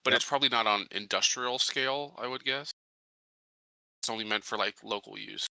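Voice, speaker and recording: A man's voice: medium pitch, moderate pace, vocal fry, pushed and bright, no echo, poor audio. A man talking casually as a player in a tabletop game discussion.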